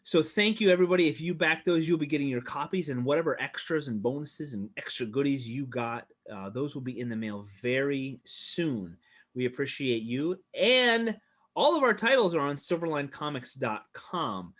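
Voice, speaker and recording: A man hosts a casual livestream over a video call, upbeat and chatty. His voice sits at an ordinary middle pitch. He laughs often and trails off into filler words as he thanks people. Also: lively intonation, moderate pace, a bit bright. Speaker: host.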